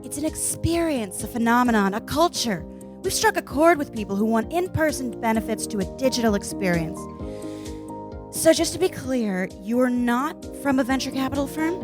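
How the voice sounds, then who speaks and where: A woman's voice: a little high, animated, moderate pace, music in the background, natural voice. A woman playing a slick, smug startup chief with polished sales patter in a comic radio play. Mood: salesy. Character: slick, smug female startup CEO pitching her club.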